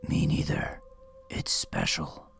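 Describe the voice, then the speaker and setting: A man playing a calm, righteous, menacing masked killer in a horror audio drama, speaking slowly in a breathy, low whisper. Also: soft background music. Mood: grave, secretive. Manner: slow, hushed, deliberate.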